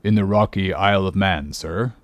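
A man's voice: low-pitched, normal speed, no echo, muffled recording, pitched below the performer's own voice, natural voice. A man voicing a weary, wry old sailor in an audiobook, muttering and grumbling. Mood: wry, deferential. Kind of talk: audiobook character reading.

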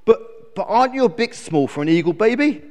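A man's voice: high-pitched, lively intonation, fairly quick, a little mellow, long reverb tail, voice pushed up. A man voicing a friendly, chatty male raven who exclaims effusively in a children's story. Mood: eager, helpful.

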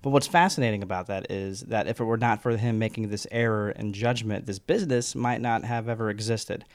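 A man's voice: on the low side, steady tone, fairly quick. A man hosting a podcast, interviewing a guest at the guest's office in an upbeat way, with frequent stammered restarts. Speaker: podcast host. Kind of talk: casual interview.

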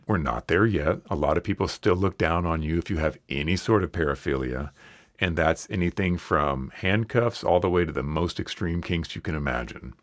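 A man hosting a solo podcast, talking in an earnest, reassuring and plainspoken way while hoarse from a cold and losing his voice. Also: sits low, moderate pace, no room sound, clean studio sound. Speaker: podcast host.

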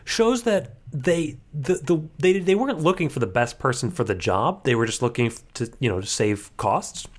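A man's voice: mid-range voice, expressive, normal speed, few pauses. A man co-hosting a podcast, chatting casually and jokingly about cartoons. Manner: joking, riffing with mock voices. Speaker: podcast co-host.

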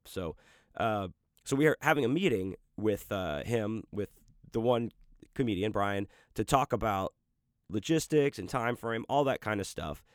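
A man's voice: lowish voice, no echo, clean studio sound. A man hosting a podcast in loose, rambling casual chat, profane and full of restarts, talking about his audiobook narration work.

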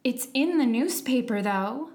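A courteous young girl from a storybook , played by a woman. She speaks somewhat high, in a gentle and earnest way. There is a kindly, slightly timid temper to her. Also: lively intonation, measured pace. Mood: earnest, polite.

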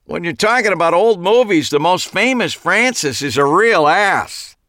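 A man voicing an excitable veteran film director with an enthusiastic, emphatic manner, in an audiobook. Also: fairly low pitch, a little slow, no room sound, studio quality.

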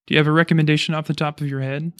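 A man's voice: a little high, flat delivery, racing, a bit bright, smooth, no echo, studio quality. A man hosting a casual interview, chatting and explaining things as he goes. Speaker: podcast host, chiropractor.

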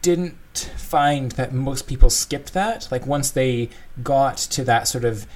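A man's voice: mid-range voice, slow pace, a little mellow. A man co-hosting a casual discussion, explaining his thoughts in a thoughtful, conversational way. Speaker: podcast co-host discussing game design.

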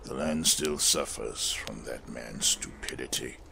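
A solemn, weathered smith of a fantasy audio drama, with an extremely low voice full of gravel. He speaks slowly and weightily, like a reflective former preacher who has survived hard years. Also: sharp tone, slight background noise, full character voice. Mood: troubled.

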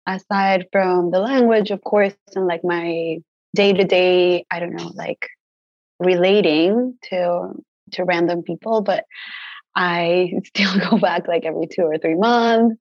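Low-voiced woman from Mexico, chatty. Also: measured pace, dry recording, lo-fi sound. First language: Spanish. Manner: relaxed, many "like" fillers, laughs often. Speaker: guest herbalist. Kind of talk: casual interview chat.

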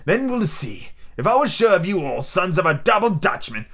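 A cunning old-salt pirate cook acted by a man reading a storybook, pitched fairly high. He boasts and bosses his mates in theatrical, emphatic sailor talk. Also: very animated, moderate pace, fairly bright tone, exaggerated voice. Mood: scornful, commanding. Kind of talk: audiobook character acting.

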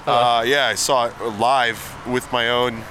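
A man's voice: mid-range voice, little pitch movement, takes it slowly, pushed and bright, a little hiss or hum. A man co-hosting a podcast, teasing and provocative in joking banter, his voice worn from talking. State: losing his voice. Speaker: podcast co-host.

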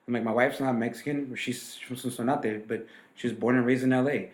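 A man's voice: medium pitch, steady tone, a little fast. Man from LA, restaurant owner, rambling casual talk, roomy sound. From Los Angeles. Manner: rambling, many restarts and fillers.

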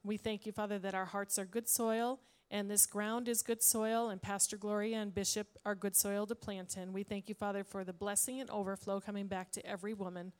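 A woman pastor with a quiet voice taking the offering and praying over a women's gathering. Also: medium pitch, brisk, hardly any pauses, smooth. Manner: quiet voice, steady. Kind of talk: offering talk and prayer. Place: church hall with audience.

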